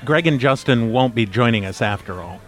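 A man's voice: mid-range voice, animated, a little fast, natural voice. A man playing a long-suffering, sarcastic podcast host in a comedy audio drama, speaking drily. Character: exasperated, sarcastic male podcast host herding his friends.

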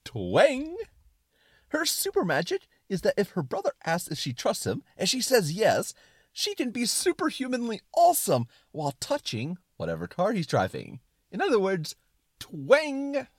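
Comic skit role: man as cheerfully insistent living suspenders, excitable, high and very animated. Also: measured pace, husky, dry recording, studio quality, exaggerated voice. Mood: breezy, excited. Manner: excited, emphatic, twanging. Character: excitable, breezy, reassuring talking suspenders that twang.

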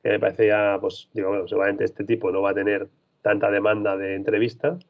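Low gravelly man, muffled recording. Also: level tone, fast. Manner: thoughtful, rambling, frequent restarts. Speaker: freelance basketball reporter. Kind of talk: casual interview answers. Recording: dull lo-fi sound.

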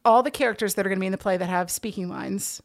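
A woman's voice: medium pitch, racing. An enthusiastic, emphatic woman chatting casually as an interview guest, explaining the club she organizes.

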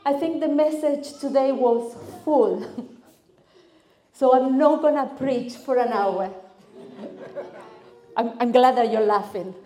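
A woman from Argentina with an Argentine Spanish accent and Spanish as her first language, preaching as a guest speaker in a church, expressive and lively as she tells stories. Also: sits high, slow pace, long pauses. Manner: expressive, lively storytelling with vivid lists. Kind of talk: sermon. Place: church hall. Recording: echoey church hall.

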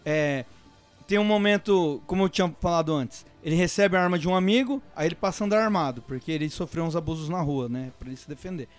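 A Brazilian man with a notably high voice for a male speaker. He talks very quickly and casually, full of slang and swearing, recounting things with animation. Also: faint music behind. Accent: Brazilian Portuguese. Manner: animated storytelling, swears freely. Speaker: panelist in a film discussion. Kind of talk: casual, slangy group chat.

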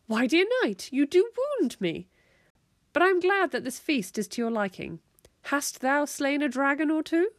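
A woman's voice: sits high, big pitch swings, moderate pace, dry recording, voice pushed up. Storybook mother, playful, theatrical olde-worlde delivery, done by a woman reader, close and dry. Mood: mock-dramatic. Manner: theatrical, mock-medieval phrasing. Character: playful mother joining her children's medieval game.

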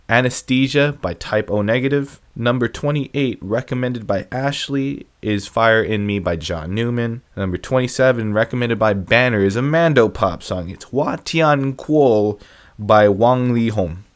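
A man's voice: mid-range voice, a little slow. A young man casually counting down a list of songs his viewers recommended, offhand and self-deprecating. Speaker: music reviewer ranking viewer song picks.

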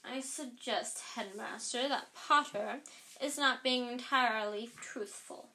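A woman reading aloud, voicing a cold male teacher in a slow, quiet, sneering manner. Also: on the high side, slight background noise.

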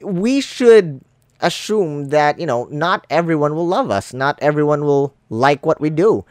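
A man's voice: fairly high pitch, animated, a little slow, darkish tone, no echo, studio quality. A man hosting a podcast, a Filipino speaker of English as a second language, talking earnestly and encouragingly about love and positivity. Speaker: podcast host. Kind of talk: casual podcast interview.

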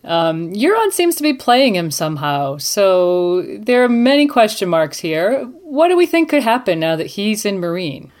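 A woman's voice: medium pitch, very animated, normal speed, few pauses, darkish tone. A woman hosting a podcast discussion, summing up and steering the topics in an organised way. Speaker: podcast host. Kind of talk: hosting a discussion, partly scripted.

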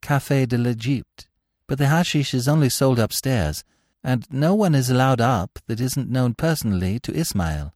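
A man's voice: medium pitch, animated, moderate pace, a little mellow, dry recording, clean studio sound, pitched above the performer's own voice, lightly acted. A man voicing a respectful former soldier who gives a reluctant confession in an audiobook. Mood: cooperative, respectful. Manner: hesitant, respectful. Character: deferential male ex-soldier confessing as a bribed witness.

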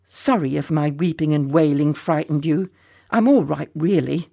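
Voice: mid-range voice, moderate pace, creaky, mellow, no echo, clean studio sound. A storybook reader voicing an earnest, kindly young groom boy who is timid but eager and a little hesitant. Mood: concerned, calling out.

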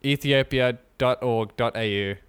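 A man co-hosting a podcast in casual chat, rambling and joking with halting restarts. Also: mid-range voice, smooth. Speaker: podcast co-host. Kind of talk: casual chat, mock sponsor read.

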